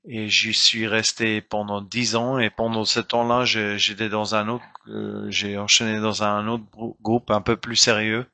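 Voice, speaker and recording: Guy talking slow in french, bright edgy voice, keeps restarting and hunting for words. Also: fairly low pitch, little pitch movement. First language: German. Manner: hesitant, searching for words with many restarts. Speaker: guest musician. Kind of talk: casual interview talk.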